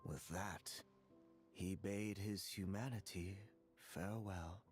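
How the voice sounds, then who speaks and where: An actor playing a calm, cryptic, coolly ironic seer in a video game, telling his tale in formal, measured words. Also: deep voice. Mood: cold irony. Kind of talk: dramatic video game voice acting. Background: game music.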